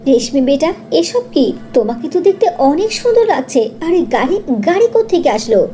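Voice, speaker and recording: A woman's voice: high-pitched, very animated, normal speed, warm tone. A woman voicing a doting mother in a cartoon fairy tale, exclaiming and questioning. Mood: amazed. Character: middle-aged mother of two daughters, doting.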